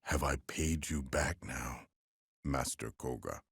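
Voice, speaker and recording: A man's voice: rumbling low, slow, gravelly, slight background noise, exaggerated voice. A man voicing a grave, devoted warrior henchman in a dramatic video game cutscene. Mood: weary, devoted. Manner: solemn, devoted.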